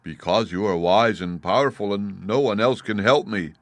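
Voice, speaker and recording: A man's voice: lowish voice, moderate pace, dry recording, natural voice. A man voicing a humble, earnest scarecrow who politely asks for a favor.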